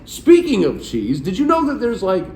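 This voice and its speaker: Late-30s male co-host, fairly high and animated, casual and laugh-prone, reads search results aloud; light background hiss. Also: moderate pace, gravelly, roomy sound. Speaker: podcast co-host. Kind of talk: casual chat.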